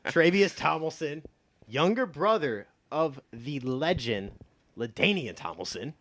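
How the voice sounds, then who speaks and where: A man's voice: fairly high pitch, sing-song, unhurried, fairly bright tone. A man in his twenties with an American accent, chatting casually about sports as a show guest, emphatic, opinionated and loose with swearing. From United States, born in Texas and from Iowa.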